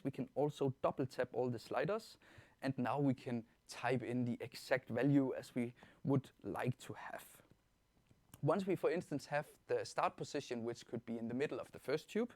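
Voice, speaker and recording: A man with a Danish accent giving an informal software tutorial as a webinar presenter, explaining things step by step in a reassuring way. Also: mid-range voice, no room sound. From Denmark. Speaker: webinar presenter, product trainer.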